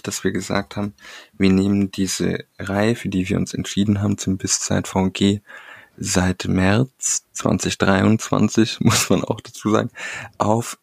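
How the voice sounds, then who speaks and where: Male podcast co-host: low, gravelly, husky, dry close sound, friendly and welcoming. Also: flat delivery, a little slow, no echo. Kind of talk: podcast hosting, welcoming a guest.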